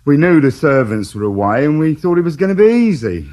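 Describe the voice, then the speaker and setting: A cheeky small-time burglar in a radio drama, brushing off a detective's questions with breezy mockery. His voice sits a little high and moves in lively swoops. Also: brisk. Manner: breezy, mocking, evasive. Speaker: suspect.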